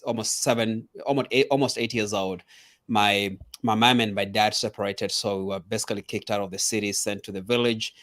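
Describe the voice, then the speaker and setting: Lowish man telling his story. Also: fairly bright tone. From Kenya. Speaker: guest speaker. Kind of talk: interview storytelling.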